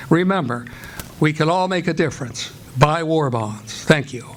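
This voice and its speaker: A man's voice: fairly high pitch, expressive, normal speed, slight background noise. An American man announcing in a formal, declamatory old-time radio style as host and director of a live theatre performance. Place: live stage performance before an audience.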